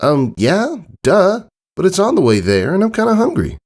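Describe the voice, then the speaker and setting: A man's voice: high voice, wildly swooping pitch, moderate pace, a little mellow, pitched above the performer's own voice, exaggerated voice. A man voicing an eager, sulky young female pegasus pony in a storybook reading, with casual, whiny kid talk. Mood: eager, offhand.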